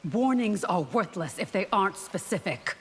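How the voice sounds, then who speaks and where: A woman playing a proud, devout and fierce bodyguard warrior in a video game, speaking in a formal, defiant, measured way. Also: medium pitch, expressive, lightly acted. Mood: cool, sceptical. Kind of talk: video game character acting. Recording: long reverb, faint noise behind.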